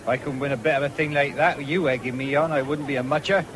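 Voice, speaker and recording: A man's voice: medium pitch, steady tone, fast talker, natural voice. An actor playing an easygoing, free-spending young countryman with a rural English accent in a 1970s radio drama, teasing and hesitant in dialect. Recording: noisy old radio broadcast, fairground din.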